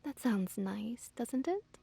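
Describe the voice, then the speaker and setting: A woman voicing a gently dominant, doting fox witch in a soft, whispery ASMR roleplay, coaxing and intimate. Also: on the high side, animated, slow pace, vocal fry, breathy edge, no echo, heavily acted. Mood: tender, coaxing.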